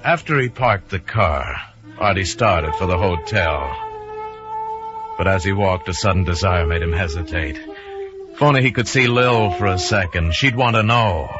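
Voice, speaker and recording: Man, very low voice, dramatic suspense narration, vintage radio, music behind. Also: expressive, unhurried, muffled recording.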